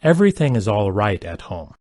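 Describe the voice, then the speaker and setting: A man reading simple English practice sentences aloud, each one twice, in a careful, even delivery. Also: fairly low pitch, brisk, dry recording, clean studio sound. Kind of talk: slow repeated sentence reading for learners.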